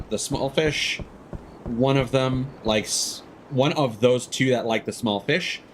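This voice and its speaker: A man's voice: mid-range voice, a little hiss or hum. A man hosting a game livestream, giving rambling, opinionated explanations in a casual, chatty way.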